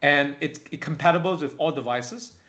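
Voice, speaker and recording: A man presenting a product webinar in an informal, halting way, often checking in with small tag words. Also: fairly high pitch, fairly bright tone. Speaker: webinar presenter for a tech company.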